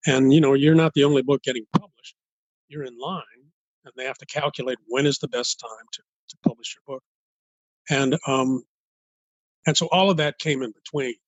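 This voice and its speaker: An older man from Los Angeles in an interview as a guest author, speaking in a halting, thoughtful way and building his points step by step. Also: medium pitch, fairly even intonation, dry recording, lo-fi sound. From United States, Los Angeles. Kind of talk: interview conversation.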